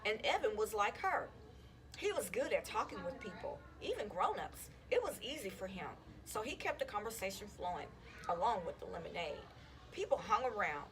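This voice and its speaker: A woman reading a children's chapter book aloud in an expressive, storytelling style. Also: medium pitch, moderate pace, a little hiss or hum. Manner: animated, acts out dialogue. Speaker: school counselor reading aloud to students.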